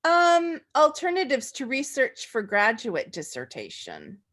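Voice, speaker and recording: A woman's voice: sits high, wildly swooping pitch, unhurried, a bit bright. A woman moderating a video webinar, brief and attentive as she hands over to a participant.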